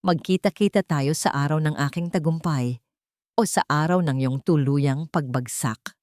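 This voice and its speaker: A woman voicing an arrogant, menacing male rival in a narrated drama, taunting and deliberate. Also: deep voice, darkish tone, dry recording, clean studio sound, lightly acted. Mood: threatening.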